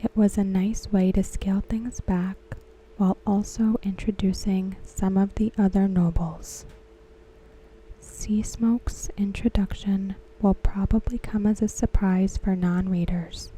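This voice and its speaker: A woman whispering softly and unhurriedly in an ASMR style, talking about a TV episode. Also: medium pitch, steady tone, unhurried, breathy edge, poor audio. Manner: soft unvoiced whisper throughout. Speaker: ASMR creator discussing a TV episode.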